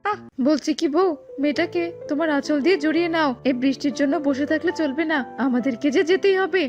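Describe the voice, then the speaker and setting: A woman's voice: high voice, fast talker, a little mellow, lo-fi sound, lightly acted. A woman voicing an anxious, devoted father fox in a cartoon, with fretful exclamations. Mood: urgent, anxious. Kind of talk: cartoon dub acting. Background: music.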